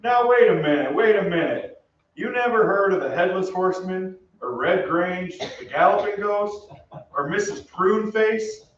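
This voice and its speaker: A man's voice: high-pitched, expressive, normal speed. A man playing a brash, good-natured American soldier with an American accent, slangy and breezy, in an old-time radio drama. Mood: scrappy. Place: on stage in a theatre. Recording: distant, echoey, muffled stage, faint noise.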